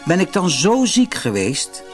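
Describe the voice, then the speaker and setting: A man's voice: sits high, wildly swooping pitch, unhurried, soft background music, voice pushed up, exaggerated voice. A man voicing a homely, wondering mother in a children's fairy tale. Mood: puzzled. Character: poor boy's mother, puzzled, then delighted woman. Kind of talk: fairy-tale storybook reading.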